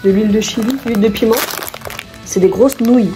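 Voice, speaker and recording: A woman's voice: a little high, steady tone, a little fast, music in the background, poor audio. A woman vlogging casually at home, chatting and rambling through her shopping haul, often stopping to correct herself and laughing at her own slips.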